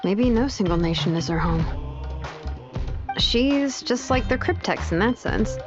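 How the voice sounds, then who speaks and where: A woman's voice: mid-range voice, expressive, a little slow, close to an everyday voice. A woman playing a curious, easygoing young mechanic in a video game, thinking aloud in a conversational way. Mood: thoughtful, curious. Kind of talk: English video game voice acting. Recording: dull lo-fi game audio with music.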